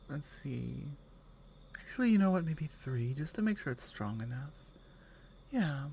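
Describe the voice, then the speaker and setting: Actress as slow-speaking, caring Nordic warrior woman. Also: low-pitched, gravelly, mellow, slight background noise, full character voice. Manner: unhurried, halting phrases, often dropping to a whisper. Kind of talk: ASMR roleplay, intimate one-sided dialogue.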